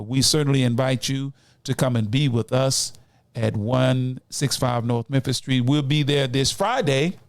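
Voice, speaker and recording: Male podcast host, late fifties, mid-pitched, exhortatory community address, very dry recording. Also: gravelly, no room sound. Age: late 50s, nearly 60. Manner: exhortatory, addresses listeners as brothers and sisters. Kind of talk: community podcast talk with announcements and shout-outs.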